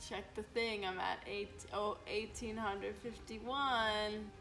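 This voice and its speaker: A woman's voice: mid-range voice, fairly even intonation, slow pace, a bit bright, soft background music, low-quality recording. A young woman vlogger chatting casually in a self-mocking, playfully dramatic way. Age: young adult. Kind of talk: casual reading vlog chat.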